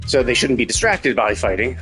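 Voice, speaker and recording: A man's voice: medium pitch, animated, brisk, fairly bright tone, faint music behind, rough recording. A man giving casual, opinionated live game commentary, rambling and repeating himself with some casual swearing. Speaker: live streamer playing a story game.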